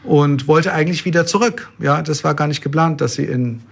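A man in his fifties, a pastor, telling his story in an interview with relaxed hesitations. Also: fairly high pitch, brisk, gravelly. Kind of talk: interview conversation.

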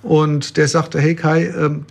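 Raspy-voiced man with a gravelly edge. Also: a little high, slow pace. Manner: relaxed storytelling, frequent hesitations. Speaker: pastor. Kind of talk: interview conversation.

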